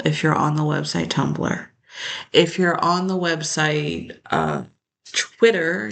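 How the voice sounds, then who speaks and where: A vlogger rambling to camera in a gravelly, fry-laced voice that sits at a middle pitch. The talk drifts from one idea to the next and often trails off mid-thought. It sounds loose and unscripted. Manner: rambling, jumps between topics, trails off. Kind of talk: casual rambling monologue to camera.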